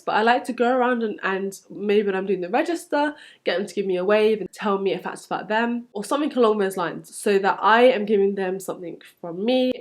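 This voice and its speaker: A young woman with a London English accent talks at a middle pitch. She gives advice in an encouraging, conversational way, like a teacher answering questions on a casual vlog. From London, England. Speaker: supply teacher giving advice as vlog host.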